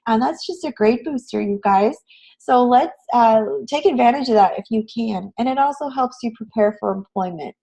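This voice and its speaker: Hoarse, raspy woman teaching online. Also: on the high side, moderate pace, warm tone. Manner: encouraging, addresses students with frequent questions. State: hoarse voice recovering from a cold. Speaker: online graphic design instructor. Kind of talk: live online lecture to students, conversational. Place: online class session, screen shared.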